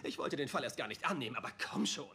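A man's voice: mid-range voice, expressive, rapid-fire, bright, forceful tone, husky, exaggerated voice. An actor playing an eccentric, pushy male detective who is talkative, inquisitive and theatrical. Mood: eager, theatrical. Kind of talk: dubbed series dialogue.

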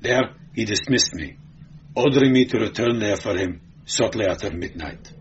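A man's voice: on the low side, lively intonation, normal speed, muffled recording, a little hiss or hum, lightly acted. Man as a sullen, bitter slave charioteer. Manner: sullen, matter-of-fact.

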